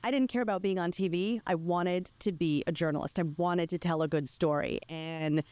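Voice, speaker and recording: A woman's voice: fairly low pitch, fairly even intonation, moderate pace, a little mellow. A woman from Greece speaking as a podcast guest in a casual interview, chatty, emphatic and full of anecdotes. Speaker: podcast guest, PR professional and former TV news anchor.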